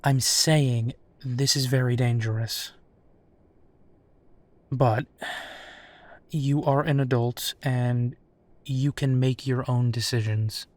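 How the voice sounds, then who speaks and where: A man playing a protective, worried but caring werewolf detective in an intimate audio roleplay, speaking in a reassuring way with pauses. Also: medium pitch, steady tone, a little mellow, dry recording, lightly acted. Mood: worried, earnest.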